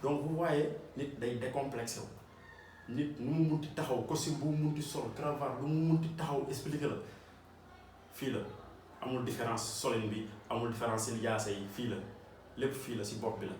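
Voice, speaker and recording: A man's voice: medium pitch, fairly even intonation, moderate pace, a little mellow, a little hiss or hum. Senegalese guy, electrician pep talk, earnest and repeats himself, far off the mic, big room echo. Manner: earnest, repeats points for emphasis. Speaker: electrician and channel host. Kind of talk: motivational talk to camera. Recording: roomy echo, distant mic, faint ambience.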